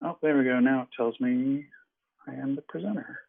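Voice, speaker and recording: A man's voice: mid-range voice, moderate pace, creaky. A man presents a working group update on a conference call. He speaks hesitantly, with many ums. The line is tinny and phone-like.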